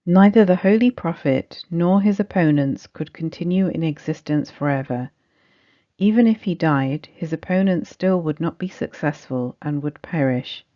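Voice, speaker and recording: A woman's voice: deep voice, normal speed. A woman reading a scripted religious study text aloud as narration. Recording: dull, muffled lo-fi recording.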